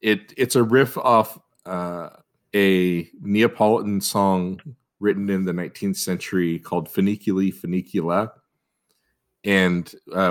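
Man, deep voice, easygoing podcast co-host who jokes and laughs a lot. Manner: easygoing, joking, laughs often. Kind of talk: casual chat.

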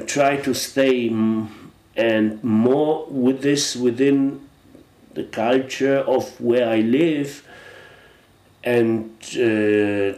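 Reflective Polish-accented man, halting speech. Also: medium pitch, darkish tone, roomy sound. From Poland. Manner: halting, restarts often, reflective. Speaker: guest. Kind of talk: casual interview chat.

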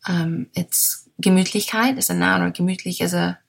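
A woman in her thirties with a German accent, hosting a gentle podcast monologue in a soft, unhurried, mindful way. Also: lowish voice, steady tone, gravelly, slightly rough. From Bavaria, southern Germany. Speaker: podcast host.

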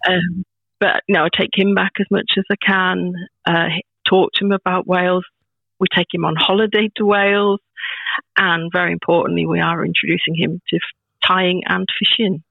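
A woman in her fifties, a proud grandmother, chatting as a podcast guest in a warm, rambling way with many restarts. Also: fairly low pitch, level tone, normal speed, vocal fry, dry recording. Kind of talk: casual chat. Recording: tinny phone-like line, dry.

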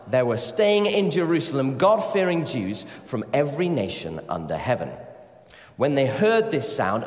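Echoey, animated man preaching in church. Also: medium pitch. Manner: playful, encouraging the congregation to join in. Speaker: church speaker leading a talk. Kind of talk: interactive sermon with scripture reading. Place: large church, speaking to a congregation. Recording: echoey church hall, reverberant.

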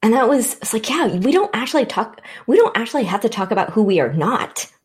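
A woman's voice: on the high side, sing-song, fairly quick, breathy edge. A woman born in Vietnam, speaking as a panel guest, reflecting aloud and restarting her sentences as she thinks. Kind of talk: conversational panel discussion.

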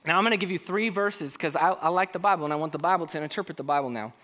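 A man with a high voice preaching a sermon, talking straight to the congregation and asking them one question after another. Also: racing, bright, forceful tone. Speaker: pastor.

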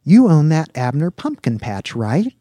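A man's voice: mid-range voice, animated, normal speed, warm tone, breathy edge, dry recording, voice pushed up. A man voicing an eager, naive young male college student in an audiobook, chatting in casual slang. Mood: eager, chatty. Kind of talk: audiobook character dialogue.

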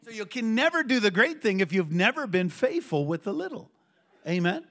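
A middle-aged man preaching to a congregation in a church, telling a personal story with lively call-and-response. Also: sits high, moderate pace. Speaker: pastor.

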